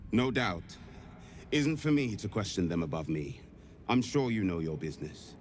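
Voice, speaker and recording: A man voicing a gruff male cult officer who speaks curtly and defers to his superiors. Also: fairly low pitch, lively intonation, normal speed, a little hiss or hum, close to an everyday voice.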